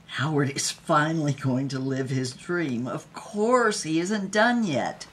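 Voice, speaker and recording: A woman's voice: deep voice, expressive, takes it slowly, fairly bright tone, full character voice. A woman playing a wry, brassy showgirl who tells her own story in theatrical, vivid dramatized narration. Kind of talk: first-person dramatized narration.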